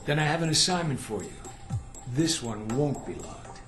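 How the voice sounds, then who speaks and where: An actor playing an exacting spy training instructor in a video game, formal and terse, with cool condescension. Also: male voice, mid-range voice, very animated, normal speed, close to an everyday voice. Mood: sly, challenging. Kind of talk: video game cutscene acting. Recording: roomy echo, music behind.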